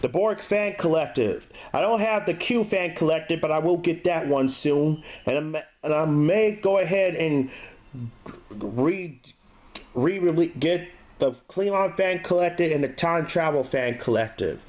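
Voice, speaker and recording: A man giving a casual, unscripted review as host, speaking haltingly and stumbling over some of his words. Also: a little high, expressive, darkish tone. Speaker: DVD reviewer, show host.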